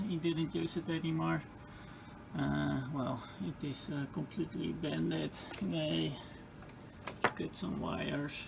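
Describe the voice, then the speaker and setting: Gravelly, slow-talking man, non-native English speaker. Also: on the high side. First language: not English. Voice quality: gravelly, creaky. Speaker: host of a radio restoration channel. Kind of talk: casual hands-on repair commentary. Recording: clearly noisy home-made recording.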